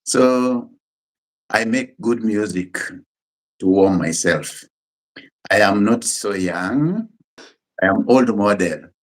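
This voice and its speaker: A middle-aged Kenyan man whose first language is Luo, talking casually as a guest musician and pastor in simple, unhurried sentences that often restart. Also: lowish voice, animated. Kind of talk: casual interview chat.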